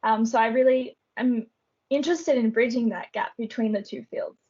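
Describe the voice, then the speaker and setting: A young Australian woman answering interview questions in a relaxed, thoughtful way, with frequent ums. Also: on the high side, normal speed, muffled recording. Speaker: guest artist.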